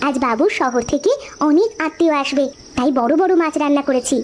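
A woman's voice: high-pitched, brisk, background noise, lightly acted. A woman voicing a poor village mother in a cartoon, tender and plaintive, a servant who cooks for a master. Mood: gentle. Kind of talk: cartoon voice acting.

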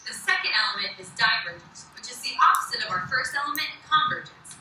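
A young woman narrates a student-made video in a bright, high voice. The sound is video playback in a lecture hall, distant and very echoey. A faint hiss sits underneath. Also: lively intonation, fast. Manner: chatty, valley-girl slang in skits. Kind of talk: scripted narration and skit chat.

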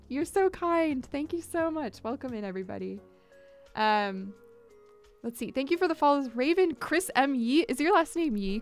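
A young woman chatting casually to viewers on her live music stream, talking close to a studio microphone in a small home studio. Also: sits high. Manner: chatty, upbeat, squeals greetings at viewers. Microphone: large studio mic on boom, headphones.

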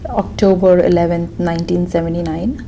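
A woman in her thirties from India with a Gujarati accent, answering questions in a deposition in a conference room, soft-spoken and hesitant. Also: on the low side, steady tone, moderate pace, a little hiss or hum. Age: 30s. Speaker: deposition witness, physician assistant. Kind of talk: answering questions under oath.

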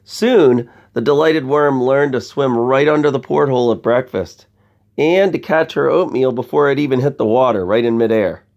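Creaky-voiced man reading a bedtime story. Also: medium pitch, normal speed, muffled recording. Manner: expressive, addresses young listeners with questions. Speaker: storyteller reading a picture book aloud to children. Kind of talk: bedtime story read-aloud with conversational asides.

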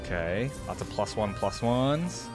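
A man's voice: lowish voice, animated, unhurried. Casual young man reacting, music behind. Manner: casual, often reading on-screen text aloud. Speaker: reaction video host. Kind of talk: casual live reaction commentary. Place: indoor gaming setup. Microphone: desk microphone close to the speaker. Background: game video music.

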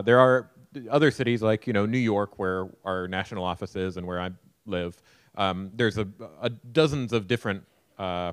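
A low-voiced American man in his thirties addresses a conference from the stage. His sound is fairly dry and close on a handheld mic as he explains things to the audience. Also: no echo. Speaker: keynote speaker. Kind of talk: conference talk answering audience questions. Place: conference hall stage, indoors. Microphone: handheld microphone.

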